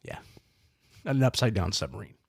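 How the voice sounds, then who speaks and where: Stuffy-nosed man, lively sick host. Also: on the low side, normal speed, gravelly, slightly rough, no room sound, studio quality. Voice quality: stuffy nose. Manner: lively, rambling, self-deprecating. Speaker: podcast host. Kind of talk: casual roundtable chat.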